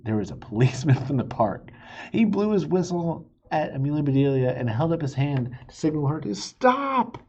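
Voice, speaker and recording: A man reading a children's story aloud in a playful, expressive way, with a husky voice. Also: on the high side, normal speed, lo-fi sound, a little hiss or hum. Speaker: storybook reader.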